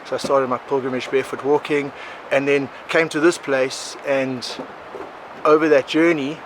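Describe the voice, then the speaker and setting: A South African man in his forties, a podcast guest, telling his life story in a rambling, animated way, often laughing. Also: medium pitch, normal speed, poor audio. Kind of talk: casual conversational storytelling. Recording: outdoor recording with clear background noise.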